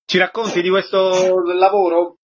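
A man's voice: high voice, little pitch movement, normal speed, breathy edge. A man working as a radio interviewer, putting questions and prompts in a conversational way. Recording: dull, lo-fi interview audio.

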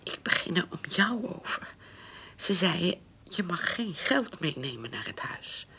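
Croaky, frail old mother. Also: on the low side, big pitch swings, sharp tone, exaggerated voice. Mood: anxious, insistent. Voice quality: breathy, croaky, whispery. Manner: halting, sighing. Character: frail, anxious, fretful elderly mother. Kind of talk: radio play acting.

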